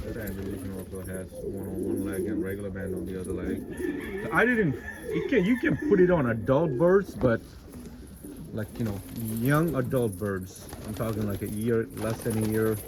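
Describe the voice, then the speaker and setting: A man's voice: lowish voice, normal speed. A man from India giving a casual, rambling tour of his backyard pigeon loft, full of fillers and restarts. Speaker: pigeon keeper showing his birds. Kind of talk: casual vlog walkthrough. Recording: loud bird noise outdoors.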